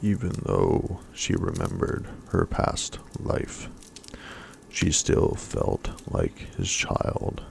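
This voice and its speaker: A man reading a story aloud as soft-spoken ASMR, in a breathy, hushed voice that often drops to a whisper. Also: very deep voice, wildly swooping pitch, drawn-out words, slight background noise.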